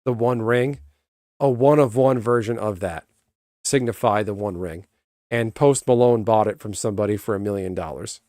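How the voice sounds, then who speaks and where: Calm, rambling man on a dry close mic. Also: mid-range voice, no echo. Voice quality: calm, even voice. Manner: rambling, self-interrupting, chats with viewers. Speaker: streamer. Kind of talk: casual live-stream chat.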